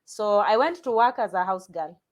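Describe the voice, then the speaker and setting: A Kenyan woman, a guest in an interview, telling her life story in a reflective, hesitant way with many pauses and restarts. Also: medium pitch, lively intonation.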